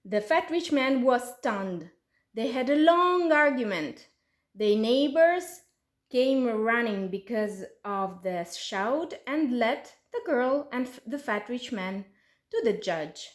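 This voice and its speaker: A woman with an Uzbek accent telling a folk tale in English as a storyteller, in an expressive storybook style. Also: a little high, slow. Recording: roomy, echoey sound.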